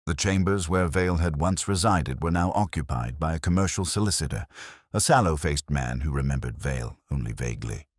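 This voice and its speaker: Very deep-voiced man narrating a detective story. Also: expressive, a little fast, breathy edge, no echo, studio quality. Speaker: audiobook narrator.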